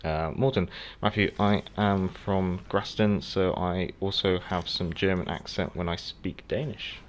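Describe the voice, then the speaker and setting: Low-voiced south african guy streaming a game, chatty, answering his chat by name. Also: fairly even intonation, unhurried, a little hiss or hum. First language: Afrikaans. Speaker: gaming livestream host. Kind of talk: casual livestream commentary while playing, answering chat.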